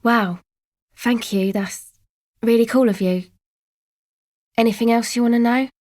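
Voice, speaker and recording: A woman's voice: on the high side, fairly even intonation, a little slow, darkish tone, dry recording, clean studio sound, lightly acted. An actress voicing a laid-back, guarded young woman from a dance music crowd, speaking casual, slangy video game dialogue with an offhand manner. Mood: grateful. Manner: offhand, slangy, hesitant asides.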